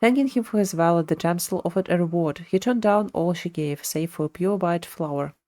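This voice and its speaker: Low-pitched woman streaming a game. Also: a little fast, warm tone. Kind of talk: live gameplay commentary with lore read aloud. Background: game music.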